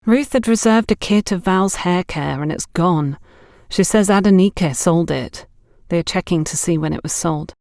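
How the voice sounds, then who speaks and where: A woman's voice: lowish voice, normal speed, a little mellow, no room sound, close to an everyday voice. A female narrator plays an anxious young woman colleague, careful and worried. The voice is lifted a little above the narration, recorded dry for an audiobook. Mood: hushed.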